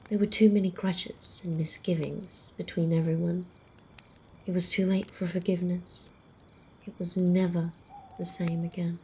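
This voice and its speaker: Woman as a soft, low, half-whispering vampire storyteller. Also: husky, exaggerated voice. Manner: measured, deliberate, dramatic pauses. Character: female vampire storyteller, wry, protective, faintly condescending to humans. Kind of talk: ASMR roleplay, slow hushed campfire storytelling. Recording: faint outdoor night ambience with crickets. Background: crickets and night insect ambience.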